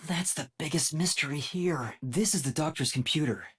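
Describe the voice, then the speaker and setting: A man's voice: fairly high pitch, normal speed, fairly bright tone, husky. A man voicing an earnest, timid young male detective in a video game, with a light boyish voice and a hesitant, thoughtful way of thinking aloud. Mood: pondering. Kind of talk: video game voice acting, investigative monologue.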